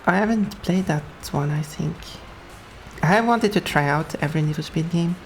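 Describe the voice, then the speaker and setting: A woman's voice: low voice, moderate pace, a little hiss or hum. A young Swedish woman with a Swedish accent, chatting casually with her viewers while playing a racing game, laughing often. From Sweden. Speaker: streamer. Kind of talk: casual gameplay commentary.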